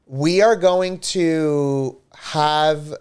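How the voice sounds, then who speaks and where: A man co-hosting a live-streamed gaming show, chatting casually and joking with viewers, his voice a little hoarse. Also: on the high side, extremely slow. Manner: chatty, animated, jokey. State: slightly hoarse.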